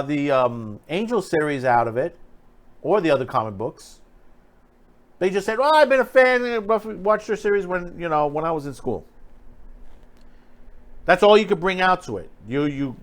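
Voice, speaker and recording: A man giving opinionated, off-the-cuff pop culture commentary as a video host, emphatic and critical, often repeating himself and restarting phrases. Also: high-pitched. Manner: halting, repetitive, restarting phrases mid-thought.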